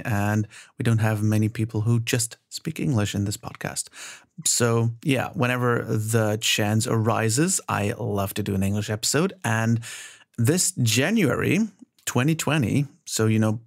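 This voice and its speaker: A man's voice: on the low side, vocal fry. A man hosting a podcast, welcoming listeners and chatting enthusiastically with a guest, with plenty of fillers and restarts. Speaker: podcast host. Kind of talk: podcast intro and interview.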